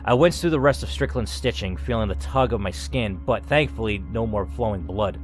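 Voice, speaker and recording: A man's voice: fairly low pitch, a little fast. A man reading a game's story aloud expressively, mixing in casual commentary as the host. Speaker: gamer, channel host. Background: music.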